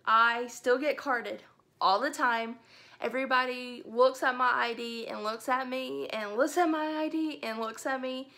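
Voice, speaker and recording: A woman's voice: a little high, a little slow, bright, forceful tone. A woman in her twenties from Alabama chatting casually to camera about herself in a home room. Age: 20s. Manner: chatty, upbeat, enthusiastic. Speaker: book vlogger. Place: indoor room with bookshelves.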